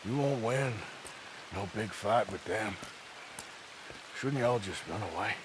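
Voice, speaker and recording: A man's voice: fairly low pitch, slow pace, noisy background. A man playing a weary, loyal, self-doubting outlaw gunman with an American accent and a terse drawl in a video game. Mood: urgent, concerned. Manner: drawling, plain-spoken, terse. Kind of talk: video game cinematic acting.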